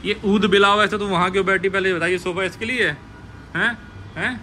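A man's voice: high voice, fairly even intonation, brisk, bright, forceful tone, a little hiss or hum. A man vlogging casually outdoors at a hotel, chatting in a playful, teasing way. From Lucknow, India. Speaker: travel vlogger. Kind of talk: casual vlog chat.

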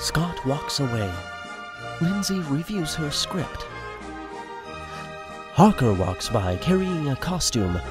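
Man, dramatic storytelling, highly varied pitch, music behind. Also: very animated, slow, pauses often. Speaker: narrator. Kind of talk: scripted dramatic narration for a radio play.

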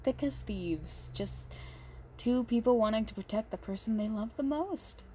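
Woman acting a slow-talking, possessive goth yandere girlfriend. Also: on the high side, expressive, dark tone, a little hiss or hum. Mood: teasing, affectionate. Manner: slow, halting, doting, menacing under sweetness. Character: big muscular possessive goth girlfriend, sweet but unhinged yandere. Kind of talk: intimate ASMR roleplay monologue.

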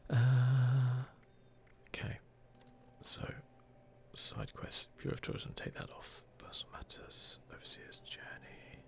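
A British man giving casual, hushed ASMR commentary on a video game, grumbling mildly as he plays. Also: medium pitch, completely flat delivery, unhurried, creaky. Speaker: gaming video host.

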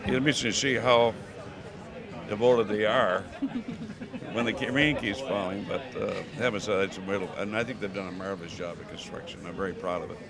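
A man's voice: lowish voice, expressive, a little fast. An older man giving a rambling interview at a stadium opening, with many restarts, in a crowded hallway. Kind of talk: casual interview. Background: crowd chatter.

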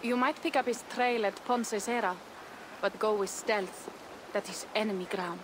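A woman's voice: medium pitch, normal speed, noisy background, close to an everyday voice. A woman playing a young, thoughtful Viking warrior in a video game, earnest and reflective. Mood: matter-of-fact. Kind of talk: dramatic game cutscene acting.

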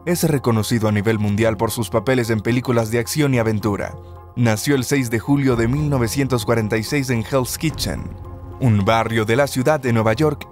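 Man narrating over faint music. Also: mid-range voice. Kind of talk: scripted countdown narration.

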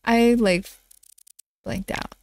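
A woman's voice: fairly high pitch, steady tone, drawn-out words, a little mellow, no echo. Giggly congested woman streaming games. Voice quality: nasal, congested. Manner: giggly, bubbly, chatting with viewers. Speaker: game streamer. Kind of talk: casual live-stream chat while gaming.

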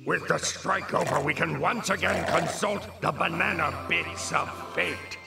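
A man voicing a pompous, bossy male ape villain in a cartoon, haughty and exclamatory, with a gravelly creak. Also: fairly high pitch, unhurried, over loud music. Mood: theatrical. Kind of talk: animated cartoon voice acting.

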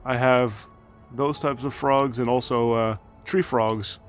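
A man's voice: medium pitch, fairly even intonation, slow pace, faint music behind. A man giving a casual, enthusiastic tour of his home garden outdoors, chatting with fillers as he films on a handheld camera. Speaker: gardening channel host.

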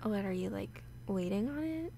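A woman playing a nervous, awkward, obsessively lovesick young woman in an intimate audio roleplay, halting and often slipping into whispers. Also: mid-range voice, expressive, creaky, mellow, slight background noise. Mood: confused, hesitant. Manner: halting, hesitant, breaking into whispers.

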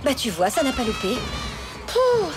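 Fed-up cartoon mom, high voice that goes up n down. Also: female voice, wildly swooping pitch, moderate pace, loud music behind the voice. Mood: exasperated. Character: exasperated mother in a family cartoon. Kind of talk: French cartoon dialogue.

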